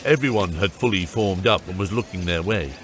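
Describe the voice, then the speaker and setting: A man's voice: deep voice, fairly quick, music in the background. A man narrating a fantasy audiobook from a script as its storyteller.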